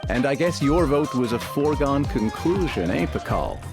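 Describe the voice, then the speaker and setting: Affable, expressive game chieftain. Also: male voice, mid-range voice, normal speed, music in the background. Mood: persuasive, decisive. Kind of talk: video game character acting.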